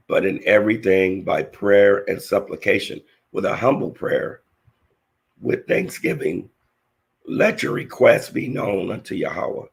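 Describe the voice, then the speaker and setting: Male Bible teacher, low, hoarse and gravelly, earnest slow verse reading. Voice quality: raspy, gravelly. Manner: slow, earnest scripture reading with halting restarts. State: hoarse, voice nearly lost. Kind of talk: scripture-reading lesson.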